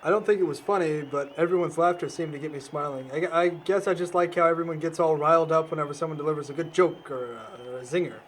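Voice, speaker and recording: Man as humble earnest young newcomer, hesitant, apologetic, slightly high voice, faint noisy ambience, amateur audio drama. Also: fast, hardly any pauses, fairly bright tone, natural voice. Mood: sheepish. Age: young adult.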